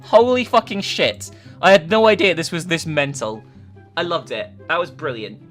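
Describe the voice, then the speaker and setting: A woman's voice: deep voice, lively intonation, moderate pace. A woman narrating and commenting in a dramatic but casual story-reading style. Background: faint music.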